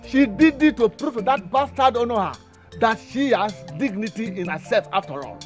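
A man's voice: very high-pitched, lively intonation, moderate pace, faint music behind. An actor with a Nigerian accent playing an older uncle who lectures his nephew like a father. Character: stern older uncle, family elder.

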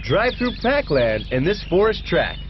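A man playing an excited, showy race announcer in an arcade racing game, drawing out names for emphasis. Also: sits high, measured pace, a little hiss or hum. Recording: muffled lo-fi game audio under loud music. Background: loud game music.

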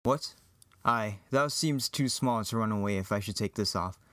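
A man's voice: lowish voice, moderate pace, a bit bright, no room sound, close to an everyday voice. A man playing an earnest, lovestruck young farm boy hero, declaiming verse in an amateur Shakespearean reading. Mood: indignant.

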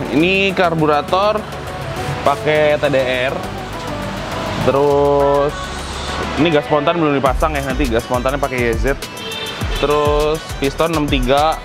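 A young man chatting casually as a vlog host, slangy and grumbling in an exasperated way. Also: mid-range voice. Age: young adult. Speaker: motovlog host. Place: open concrete garage forecourt by a workshop.

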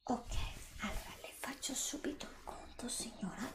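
A woman's voice: on the high side, moderate pace, roomy sound. A middle-aged woman whispers an ASMR roleplay as a polite, reassuring shop assistant serving a customer. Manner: polite, attentive, reassuring, formally addressing a customer.

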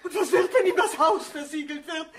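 A woman playing a panicking woman who fears ruin in a German radio drama, agitated, shrill and wailing. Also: fairly quick. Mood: frantic.

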